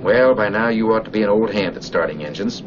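A man's voice: on the low side, a little fast, lightly acted. A man playing a stern, needling flight instructor who narrates a 1940s military training film and addresses the trainee directly. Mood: chiding. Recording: muffled old film soundtrack, clear noise.

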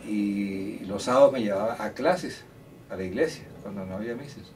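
A man's voice: lowish voice, moderate pace, darkish tone. An older man from Córdoba, Colombia, telling stories from his life as a musician in a chatty, anecdotal way, with hesitant restarts. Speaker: musician interviewee. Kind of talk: relaxed storytelling interview. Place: indoor room beside a grand piano. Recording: distant mic with faint room noise.

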